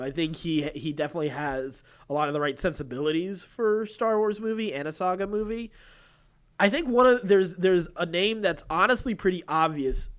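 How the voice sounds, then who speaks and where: A man co-hosting a podcast, casually discussing film news and often restarting his phrases. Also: on the high side. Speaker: podcast co-host.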